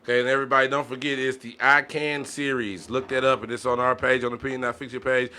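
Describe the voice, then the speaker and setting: A man's voice: medium pitch, flat delivery, quick pace, bright, forceful tone. An American man hosting a casual podcast, talking in loose slang with repeated catchphrases. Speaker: podcast host.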